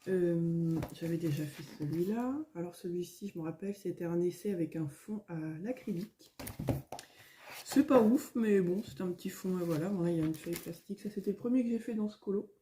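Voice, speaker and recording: A middle-aged woman, a little hoarse, chatting casually at home in a vlog, rambling with many fillers and restarts. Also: lowish voice, fairly quick, mellow, a little hiss or hum. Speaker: coloring vlogger. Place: at home, indoors.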